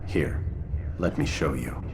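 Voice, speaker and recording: An actor playing a calm, probing assassin in a video game, speaking in a cool, measured way. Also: male voice, very deep voice, exaggerated voice. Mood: cold, threatening. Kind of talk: dramatic video game acting. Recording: clear background ambience noise.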